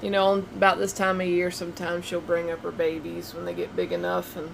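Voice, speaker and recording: Gravelly-voiced woman chiming in. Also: lowish voice, brisk. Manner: brief, agreeable interjections. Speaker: co-host, wife. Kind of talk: casual chat. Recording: noisy home recording.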